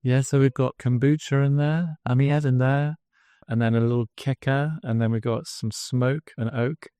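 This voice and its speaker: An English man, guest on a podcast interview, telling his story as a drinks brand founder in an earnest pitch. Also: fairly even intonation, normal speed, dark tone, clear, resonant tone, no echo. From England.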